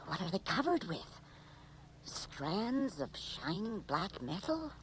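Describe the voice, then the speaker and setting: A woman's voice: medium pitch, sing-song, takes it slowly. An actress playing an anxious, questioning woman on an alien expedition, in a dramatic 1980s radio drama style. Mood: wary. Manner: dramatic, theatrical. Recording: noisy radio drama recording.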